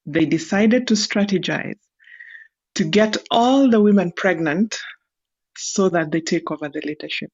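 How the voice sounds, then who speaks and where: Slow-spoken woman guest. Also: lowish voice, gravelly, darkish tone, breathy edge. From Kenya. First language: Luo. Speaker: guest speaker. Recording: lo-fi streamed audio.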